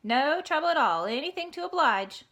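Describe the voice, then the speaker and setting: A woman voicing an obliging male rat in a storybook, with a smooth, accommodating, drawn-out way of talking. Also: high voice, expressive, fairly bright tone, voice pushed up.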